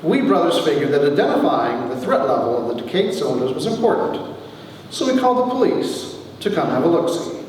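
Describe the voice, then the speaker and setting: Echoey, animated man reading wry memoir. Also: on the high side. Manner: wry, theatrical storyteller with deliberate pauses. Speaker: author reading from own book. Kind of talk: dramatic comic memoir reading to a live audience. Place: large reverberant room, recorded from a distance. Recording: echoey hall, distant mic, faint noise.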